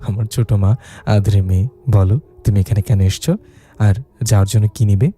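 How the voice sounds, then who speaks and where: A man's voice: lowish voice, fairly even intonation, normal speed, mellow, faint music behind, lightly acted. A man voicing an irritable, exasperated female ghost who scolds and grumbles in a supernatural story. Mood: exasperated pleading.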